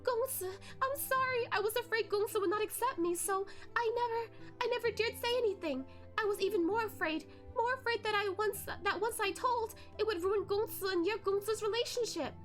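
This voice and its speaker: Fragile young maiden, super high voice, weepy n pleading, pitiful storybook drama. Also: female voice, fairly quick, hardly any pauses, a bit bright, soft background music. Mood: tearful pleading. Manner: weeping, trembling, humble pleading. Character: delicate young woman, tearful, pleading, pitiable schemer.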